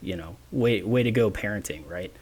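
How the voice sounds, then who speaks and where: A man's voice: on the low side, moderate pace, darkish tone, slightly rough. A man of twenty-nine hosting a solo podcast, talking in a rambling, self-deprecating way with many restarts. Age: 20s. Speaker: podcast host. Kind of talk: casual solo podcast monologue.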